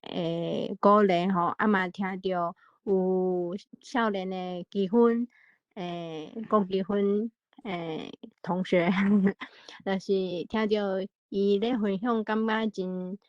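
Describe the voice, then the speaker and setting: A woman with a Taiwanese accent making a casual, heartfelt comment in an online meeting, halting and emotional. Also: mid-range voice, steady tone, no room sound. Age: young adult to middle-aged parent. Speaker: audience participant. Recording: dry, dull online meeting audio.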